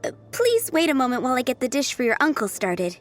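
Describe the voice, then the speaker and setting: An actress playing a cheerful, obliging young waitress in an anime video game, polite and breezy. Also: female voice, sits high, wildly swooping pitch, fast, soft background music. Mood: friendly.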